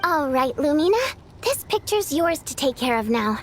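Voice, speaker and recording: High-voiced, playful, bossy young performer. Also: female voice, very animated, normal speed, slight background noise. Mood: warm. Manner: theatrical, teasing, commanding. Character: spirited young female stage performer, playful, bossy and affectionate. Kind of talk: video game voice acting.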